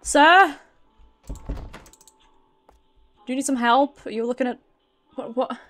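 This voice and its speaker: A British woman with a fairly high voice chats through a game stream. She reacts aloud to what is on screen and swears casually. Game music plays behind her. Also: slow pace, a bit bright.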